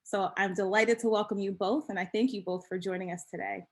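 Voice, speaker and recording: A woman's voice: medium pitch, steady tone, a little fast, darkish tone. A woman hosts and moderates a webinar conversation in a polished, welcoming, scripted manner. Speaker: host and moderator.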